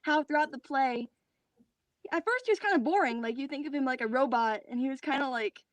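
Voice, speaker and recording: A woman with a high voice and a dry, close sound chats on a video call. She talks as an actor, informal and youthful, with enthusiasm. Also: a little fast, dry recording. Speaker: actor in a post-show discussion. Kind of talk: casual chat.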